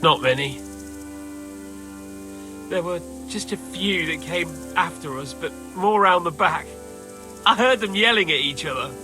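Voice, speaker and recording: An actor playing a shaken, bitter male raid survivor in a video game, speaking haltingly. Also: a little high, lively intonation, over music. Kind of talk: video game dialogue acting.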